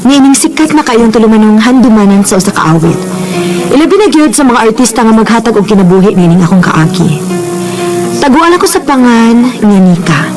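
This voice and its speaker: A woman's voice: on the high side, lightly acted, rough recording. Actress as a young woman storyteller over music. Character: young woman letter sender narrating her life story. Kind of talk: scripted radio drama narration. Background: music under the voice.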